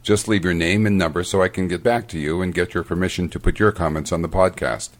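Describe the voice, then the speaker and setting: Deep-voiced man reading a podcast outro. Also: fairly even intonation, quick pace. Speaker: podcast host. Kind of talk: scripted outro.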